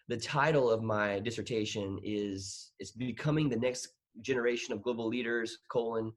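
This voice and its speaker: Laughing, easygoing man in his thirties. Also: mid-range voice. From Thailand. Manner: laughing, self-deprecating, rambling with restarts. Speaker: guest. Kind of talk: casual interview chat.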